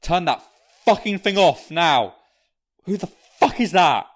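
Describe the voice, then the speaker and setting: A man's voice: sits high, big pitch swings, measured pace, heavily acted. A man playing a cynical, domineering character who takes control in a crisis, blunt and commanding, in an audio drama. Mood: furious.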